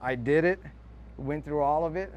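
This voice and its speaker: A man's voice: on the high side, normal speed, darkish tone, dull audio. A man, a fire lieutenant, recounting an experience in an interview.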